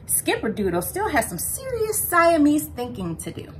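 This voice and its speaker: Mother cat for a picture book female reader: stern, coaxing, bossy, animated delivery on the high side. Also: normal speed, a little hiss or hum. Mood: firm. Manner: bossy, scolding, persuasive. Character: stern but coaxing mother Siamese cat, scolding lovingly. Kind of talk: children's storybook character voice.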